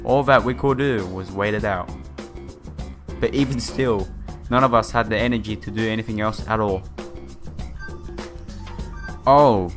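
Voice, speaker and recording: A man doing a casual let's play of a visual novel, reading the text aloud with side comments and stumbling over some words. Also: fairly low pitch. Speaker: gaming YouTuber playing a visual novel. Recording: music playing behind the voice. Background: game music.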